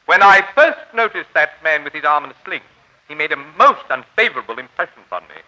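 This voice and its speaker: A pompous, eccentric gentleman crusader in an old radio drama. His voice sits rather high and swings widely in pitch. He speaks in grand, florid phrases as a self-appointed defender of the right. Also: big pitch swings, fast talker, heavily acted, poor audio. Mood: lofty, self-important. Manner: formal, florid, grandiose diction. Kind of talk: 1940s radio drama acting.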